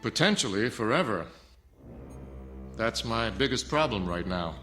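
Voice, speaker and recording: An actor playing a stern, arrogant scientist in a film drama, lecturing curtly and defensively. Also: male voice, on the low side, lively intonation, unhurried, a bit bright, faint music behind. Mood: firm, explaining. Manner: haughty, lecturing, curt. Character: stern arrogant male scientist, defensive, guarding secret nanotech.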